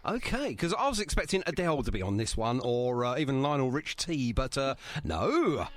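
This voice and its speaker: A man's voice: mid-range voice, sing-song, normal speed, no echo. A chatty, jokey man, hosting a casual radio interview with a band. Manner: chatty, jokey, apologetic teasing. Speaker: radio show host.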